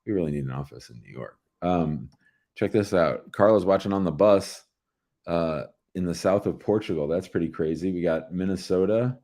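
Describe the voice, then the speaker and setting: A man with an American accent and a distinctly low voice hosts a live show. He talks casually and informally to callers and to the people watching. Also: normal speed, warm tone. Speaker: host of a live call-in show.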